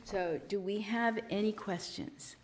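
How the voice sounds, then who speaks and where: A woman chairing a city committee meeting, calling on speakers and pushing the procedure forward briskly. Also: mid-range voice, a little mellow, slight background noise. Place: city council chamber.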